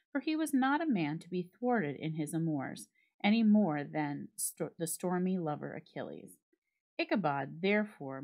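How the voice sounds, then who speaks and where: Female storyteller, slightly low register, very animated intonation, careful phrasing for a dramatic literary reading. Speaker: library staff storyteller.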